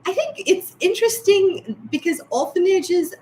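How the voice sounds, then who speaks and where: A woman's voice: very high-pitched, lively intonation, normal speed, a little mellow, slight background noise, low-quality recording. Thoughtful Sri woman author. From Sri Lanka. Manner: thoughtful, many fillers and restarts. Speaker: author guest. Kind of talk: casual interview answers.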